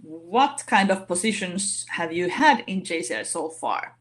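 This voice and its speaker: A woman speaking English as a non-native language, giving an online session talk in a halting way with many fillers and restarts. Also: on the low side, animated. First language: not English. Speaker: organization vice president giving a talk.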